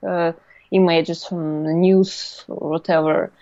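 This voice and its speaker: A woman's voice: lowish voice, flat delivery, takes it slowly, warm tone, no echo, dull audio. A young adult woman from Russia with a Russian accent, a guest speaking English as a second language in a casual interview, hesitant with many fillers.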